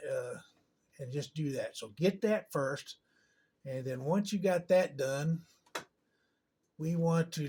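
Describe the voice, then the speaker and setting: Higher-voiced man giving an informal how-to. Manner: halting, unscripted, thinking aloud. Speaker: tutorial presenter. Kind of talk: informal step-by-step screen tutorial. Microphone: camera on a tripod.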